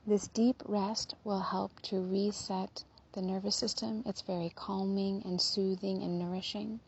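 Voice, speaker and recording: Female meditation guide, mellow and husky with some creak, soothing and unhurried, slightly muffled recording. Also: mid-range voice, little pitch movement. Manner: soothing, gentle, unhurried with soft trailing phrases. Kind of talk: guided body scan meditation.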